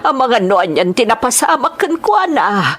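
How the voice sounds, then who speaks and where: A woman's voice: fairly high pitch, big pitch swings, normal speed, a bit bright. An actress playing an anxious mother of a grown son in an audio soap drama, worried and lamenting.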